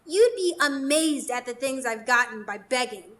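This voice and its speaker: A woman's voice: sits high, lively intonation, moderate pace, a bit bright. A woman playing a cheeky, muddled, childlike fairy beggar in a live radio drama, with playful theatrical delivery. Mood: bright, cheeky.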